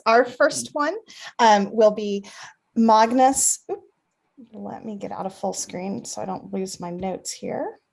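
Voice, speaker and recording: A woman's voice: a little high, low-quality recording. A woman moderating an online session and introducing the speakers, with frequent "um" fillers. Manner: friendly, many "um" fillers. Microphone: video call.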